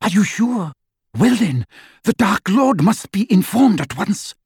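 A man voicing a shrill, sneering witch. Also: very animated, a little slow, darkish tone, husky, no echo, studio quality, full character voice. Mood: shrieking. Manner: sneering, shrieking. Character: shrill, cruel, haughty witch woman.

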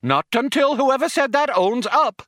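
A bossy computer with a motherly twang , acted by a man, for a comic science-fiction story. The voice sits very high and swings widely, like a scolding nanny fussing over children. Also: lively intonation, unhurried, fairly bright tone, no room sound, studio quality, exaggerated voice. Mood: stern, indignant. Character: bossy, fussy, motherly spaceship computer personality.

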